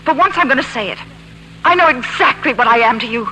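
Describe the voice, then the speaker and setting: An actress playing a bitter, overwrought wife and mother in 1940s radio drama, accusing and high-strung. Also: lively intonation, fast, bright, forceful tone, rough recording. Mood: bitter, anguished. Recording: old radio broadcast, faint hum and noise.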